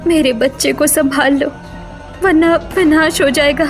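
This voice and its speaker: A woman's voice: high voice, steady tone, a little slow, soft background music, voice pushed up. A woman voicing a dying mermaid mother in a cartoon, desperate, urgent and pleading. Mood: desperate, tearful.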